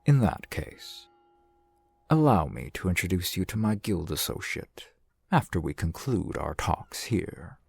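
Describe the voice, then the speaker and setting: Man playing a deep-voiced, unhurried fantasy shopkeeper. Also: big pitch swings, gravelly, slightly rough, no room sound, full character voice. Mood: businesslike, courteous. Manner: formal, deferential, eloquent merchant patter. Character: courteous, shrewd male fantasy shopkeeper and gem trader. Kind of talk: ASMR fantasy roleplay, softly acted one-sided dialogue.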